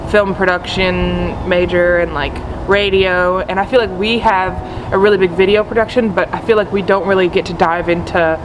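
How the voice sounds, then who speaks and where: A woman's voice: on the low side, steady tone, moderate pace, hardly any pauses. A young American woman chatting casually in an interview, leaning on "like" and repeated intensifiers. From United States. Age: young adult. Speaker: film student interviewee. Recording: clear hum of background noise.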